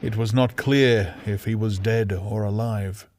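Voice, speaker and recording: A man's voice: on the low side, steady tone, a little slow, darkish tone, dry recording, clean studio sound. A man reading scripted fantasy narration as an audiobook narrator, grave and theatrical.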